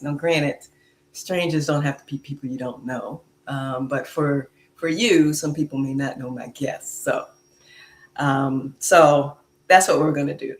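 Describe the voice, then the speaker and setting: A woman hosting her podcast in a casual chat, speaking with frequent fillers and restarts. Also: deep voice. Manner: slow, warm-hearted, with many fillers. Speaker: podcast host. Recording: echoey room.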